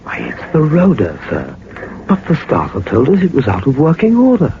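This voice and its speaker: An actor playing a loyal, eager manservant sidekick in a 1940s radio drama, earnest and deferential. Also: male voice, medium pitch, huge pitch swings, normal speed, gravelly. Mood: puzzled. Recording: dull, noisy old radio recording.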